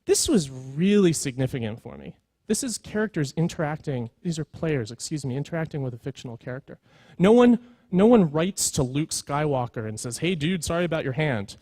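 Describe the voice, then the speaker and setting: A man's voice: fairly high pitch, expressive, fairly quick, warm tone, no echo. A man presenting at a conference in an informal, earnest storytelling way, with emphatic points. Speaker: conference speaker, creative executive.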